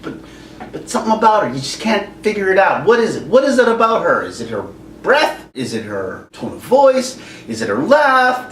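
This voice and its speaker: Animated man ranting in an echoey room. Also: fairly high pitch. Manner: animated, jokey, breaks into silly singing. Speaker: YouTube host. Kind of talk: ranting commentary to camera. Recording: echoey room, mic at a distance, faint background noise.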